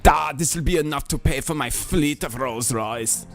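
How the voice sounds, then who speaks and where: A man putting on a boastful foreign ruler, speaking in a slow, mock-grand way that rises into shouts. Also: on the high side, gravelly, soft background music, voice pushed up. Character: pompous foreign male ruler, boastful and theatrical.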